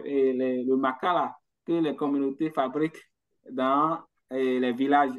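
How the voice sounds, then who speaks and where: A man's voice: a little high, darkish tone. A man presenting in an online talk, answering a question off the cuff, hesitant, with many restarts and the tag "hein". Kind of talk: spontaneous explanation.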